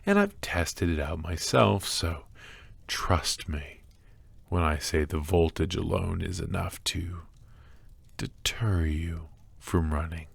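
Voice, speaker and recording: Very deep, slow, obsessive man acting a doting captor. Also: no echo, full character voice. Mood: calmly threatening. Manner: soft, halting delivery with breathy whispers and sighs. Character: obsessive, doting, sinister male kidnapper coworker. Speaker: voice actor. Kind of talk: ASMR roleplay acting.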